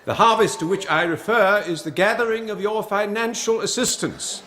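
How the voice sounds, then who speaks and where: A man's voice: high-pitched, moderate pace, fairly bright tone, slight background noise. An actor playing a pompous Edwardian English father in a radio drama, formal and oratorical in manner. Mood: grandly gracious. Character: stern, pompous Edwardian father and country house host.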